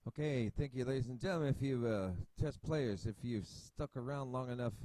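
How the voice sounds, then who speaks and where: A man's voice: medium pitch, brisk, dark tone, no room sound. A man hosting a casual chess analysis video, thinking aloud at his computer with many fillers and restarts. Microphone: desk mic at a computer.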